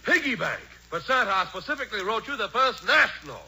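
An actor playing a fussy, spoiled dandy in a pompous, affected way in a 1940s radio comedy. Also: male voice, high-pitched, sing-song, quick pace, sharp tone, heavily acted. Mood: protesting. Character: snooty, fussy upper-class English dandy, spoiled rich man. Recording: noisy old radio broadcast.

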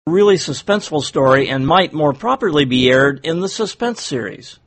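Higher-voiced man introducing vintage radio. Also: lively intonation, normal speed. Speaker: host of an old-time radio podcast. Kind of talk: scripted introduction.

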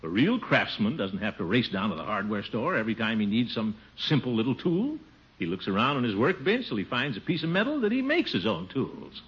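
Blustery uncle, swooping bright voice. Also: male voice, medium pitch, very animated, fairly quick. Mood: lecturing. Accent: American. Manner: pompous, boastful, chuckling. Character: pompous, blustery middle-aged American uncle. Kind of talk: 1950s radio comedy acting. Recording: dull, noisy old radio recording.

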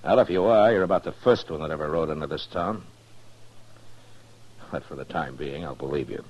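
An actor playing a dry, no-nonsense frontier marshal in a 1950s radio western, laconic and plain-spoken. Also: male voice, a bass voice, brisk, a little mellow, a little hiss or hum. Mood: stern. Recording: muffled old radio recording.

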